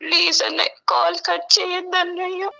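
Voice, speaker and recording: A woman's voice: very high voice, level tone, brisk, gravelly, pushed and bright, soft background music. A young woman caller, tearful and pleading emotionally over the phone. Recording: dull phone call sound.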